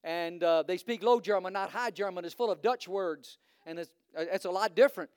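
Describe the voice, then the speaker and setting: A man's voice: high voice, brisk, darkish tone, clear, resonant tone, dry recording, clean studio sound. A man from Virginia with a Virginian accent, preaching a sermon as a visiting preacher, fervent and building to emphatic repetition. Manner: fervent, rising to emphatic preaching with frequent uh fillers.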